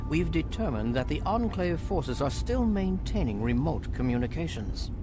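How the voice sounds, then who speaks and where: An older military commander. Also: male voice, a little high. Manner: measured briefing, lively intonation. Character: older male military leader, calm and authoritative. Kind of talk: video game cutscene dialogue. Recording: game dialogue with loud score.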